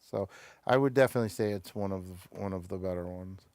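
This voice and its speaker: A man hosts a casual review show in a fairly low, smooth voice. His phrasing is halting, starting and stopping as he goes. The sound is dry and close, with little room in it. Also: a little mellow, dry recording. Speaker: show host reviewing television episodes.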